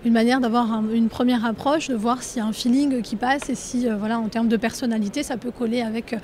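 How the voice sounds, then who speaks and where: Female HR interviewee, slightly high voice, informal, faint ambient noise. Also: fairly even intonation, quick pace, a little mellow. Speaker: human resources staffer being interviewed. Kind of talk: casual spoken interview.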